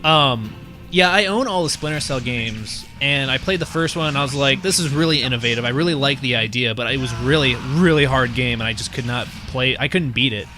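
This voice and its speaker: A man's voice: medium pitch, fairly quick, music in the background. A man casually chatting about video games with co-hosts while they watch gameplay, rambling with frequent ums. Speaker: game magazine staff host. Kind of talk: casual chat over gameplay.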